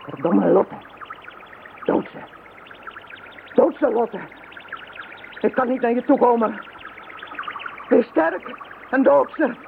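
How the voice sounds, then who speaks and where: A woman's voice: on the high side, expressive, slow pace, creaky, pauses often, faint music behind, heavily acted. A woman playing a fierce, determined psychic in an audio drama, speaking emphatically. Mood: furious, urgent. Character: fierce, determined woman leading psychic fight against villains. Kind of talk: Dutch audio drama acting. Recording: thin phone-like sound, faint noise.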